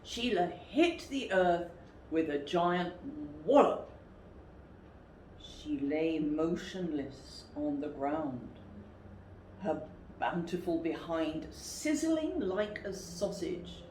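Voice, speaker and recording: A woman reads a children's story at an unhurried pace, with widely varied, dramatic intonation. The room adds noticeable echo to the recording. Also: sits low, lively intonation, darkish tone. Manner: deliberate, dramatic emphasis. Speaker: storyteller, teacher. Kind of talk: expressive picture-book reading for children. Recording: roomy echo, faint background noise.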